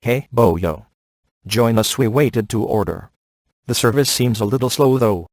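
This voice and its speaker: A man's voice: medium pitch, measured pace, darkish tone, no room sound, close to an everyday voice. A man voicing a cocky, wisecracking, flirtatious middle-aged ghost hunter in a video game, with dry, sarcastic delivery. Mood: wry, casual. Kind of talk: video game voice acting, one-liners.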